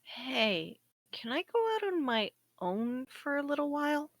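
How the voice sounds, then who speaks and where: High, hesitant, naive young space probe played by a woman. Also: big pitch swings, a little slow, dry recording. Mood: shy, uncertain. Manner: hesitant, earnest, childlike. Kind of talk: table read of a science fiction story.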